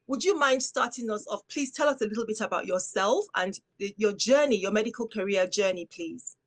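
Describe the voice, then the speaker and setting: A woman's voice: mid-range voice, expressive, fairly quick. A woman hosting an interview, giving a formal, welcoming introduction and posing questions. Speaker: interviewer, host.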